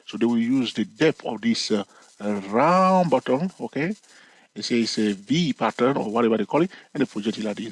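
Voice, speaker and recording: Husky man teaching, checking in often. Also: dry recording. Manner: talks to viewers directly with frequent "okay?" and "all right?" checks; playful, teasing, with sudden rises in pitch for emphasis. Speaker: trading educator. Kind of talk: casual screen-share chart lesson.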